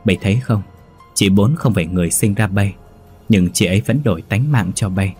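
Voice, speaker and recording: A wise old healer-priest speaks calmly, reassuring and lecturing, voiced by a male storyteller. His low, husky tone stays even. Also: a little fast, soft background music.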